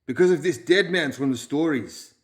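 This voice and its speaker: A man playing a hard, curt leader with a Balkan accent who growls his orders. The voice is raised and forceful above the narration, clipped and commanding in a storybook reading. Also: fairly high pitch, normal speed, voice pushed up, lightly acted. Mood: stern. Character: hard-edged male gang leader.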